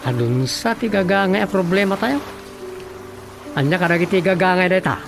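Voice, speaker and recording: Chatty, teasing Filipino village-hall sidekick. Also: male voice, high-pitched, expressive, normal speed, creaky, over music. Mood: bantering. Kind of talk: comedic radio drama acting.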